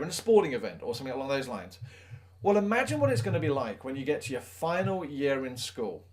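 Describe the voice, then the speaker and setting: A man, a maths teacher giving students earnest advice in a conversational, reflective talk full of fillers. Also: medium pitch.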